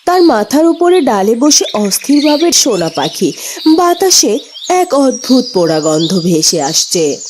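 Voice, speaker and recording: Animated high-voiced woman storyteller. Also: unhurried, noisy background. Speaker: narrator. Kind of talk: dramatic scripted story narration. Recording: music behind the voice.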